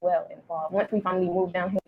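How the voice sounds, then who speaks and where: A woman's voice: fairly low pitch, steady tone, quick pace, gravelly, breathy edge. An eighteen-year-old woman giving a personal account in a documentary interview. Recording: thin phone-like noisy interview clip.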